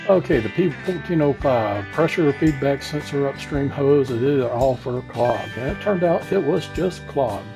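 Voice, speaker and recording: A man's voice: medium pitch, lively intonation, moderate pace, creaky, hardly any pauses, over music. A man hosting a DIY auto repair video, explaining each step in a casual, plainspoken, folksy way. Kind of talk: casual hands-on how-to narration.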